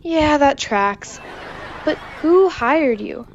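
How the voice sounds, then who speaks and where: A woman playing a deadpan, skeptical spaceship crew member in a sci-fi comedy radio drama. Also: sits high, very slow, exaggerated voice. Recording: muffled, with clear background noise.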